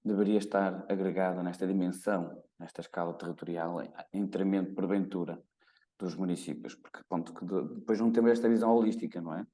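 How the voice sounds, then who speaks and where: A man's voice: deep voice, steady tone, fast talker, warm tone. A man hosting a podcast interview, who puts his questions reflectively and tentatively, with many hedges. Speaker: podcast host.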